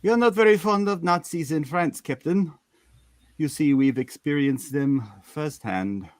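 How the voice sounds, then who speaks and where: A man playing a haughty French police official, measured and reproachful, in an amateur comic book reading. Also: on the high side, no echo, natural voice. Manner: measured, scolding.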